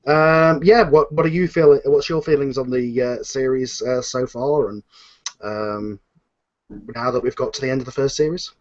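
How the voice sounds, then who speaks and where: Male host, mid-pitched, rambling and effusive in casual panel talk, dull recording. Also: normal speed. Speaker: host and series producer.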